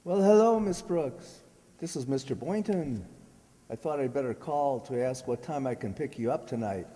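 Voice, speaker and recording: A man's voice: medium pitch, lively intonation, moderate pace, warm tone, lightly acted. A man playing a shy, earnest, oblivious suitor in a comedic old-time radio show, polite and awkwardly hesitant. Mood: polite, eager. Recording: hall-like, long reverb.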